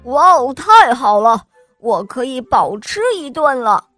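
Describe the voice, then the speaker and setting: A woman voicing a boastful, lazy male bat in a children's story, with loud, bragging, drawn-out exclamations. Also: high voice, big pitch swings, dry recording, faint music behind, heavily acted. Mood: gleeful.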